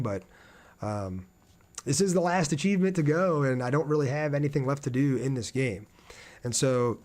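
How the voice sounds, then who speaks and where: A man's voice: mid-range voice, a little mellow. A man chatting casually and informally on a game livestream, reading out viewer comments and joking at his own expense.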